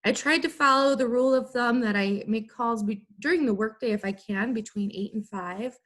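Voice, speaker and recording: A woman teacher giving an instructional lesson, explaining things in clear, simple, step-by-step phrases. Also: a little high, normal speed, fairly bright tone. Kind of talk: instructional video lesson for students.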